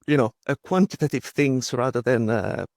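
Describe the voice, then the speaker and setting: Italian-accented man, 30s, halting and hesitant, mid-pitched voice, dry close recording. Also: a little mellow, no room sound. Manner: halting, many fillers and restarts. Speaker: guest. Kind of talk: casual interview talk.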